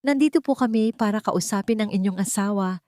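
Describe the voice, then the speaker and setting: A woman's voice: medium pitch, brisk, darkish tone, dry recording, studio quality, pitched above the performer's own voice, close to an everyday voice. Woman voicing a cold, formal Filipino policeman. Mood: stern. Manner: formal, final.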